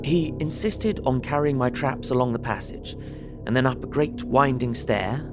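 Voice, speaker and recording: A man playing an earnest young solicitor, narrating his travel diary in a measured, descriptive way for an audio drama. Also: mid-range voice, close to an everyday voice. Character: young English solicitor recounting his journey, earnest, observant. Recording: prominent music under voice. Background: music.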